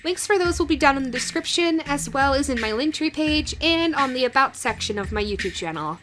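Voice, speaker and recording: A woman's voice: high voice, animated, brisk, over music. A woman who hosts an audio roleplay channel, talking casually and directly to her viewers.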